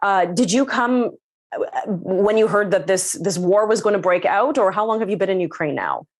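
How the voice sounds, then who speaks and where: A woman hosting a television news interview, brisk and guiding, with occasional fillers as she frames her questions. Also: medium pitch, animated. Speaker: news host.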